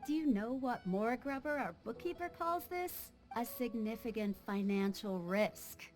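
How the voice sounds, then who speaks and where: Woman acting measured female ship's surgeon. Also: fairly high pitch, a little mellow, natural voice. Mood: calm, reassuring. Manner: measured, explanatory. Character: earnest, cautioning female ship's surgeon, calm and professional. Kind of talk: video game voice acting. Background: background music.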